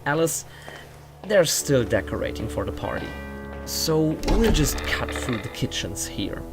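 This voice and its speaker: A fussy mansion steward in a fantasy audio drama, pitched low and speaking with theatrical swoops. The delivery is pompous, courteous and formal, like a tour guide showing off a grand house, with music playing prominently behind. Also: female voice, heavily acted. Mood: proud, grandiose. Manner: pompous, formal, mannered hesitations.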